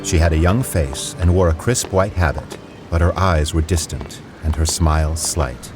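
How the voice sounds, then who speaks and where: Narrator, male: very low register, scripted storytelling, clear music bed underneath. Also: normal speed. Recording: music behind the voice.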